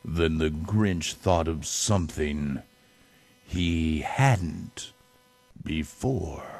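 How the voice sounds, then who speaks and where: A man giving a dramatic children's storybook reading, theatrical, with drawn-out phrases and big swells. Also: rumbling low, animated, gravelly, a little mellow. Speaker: storybook reader.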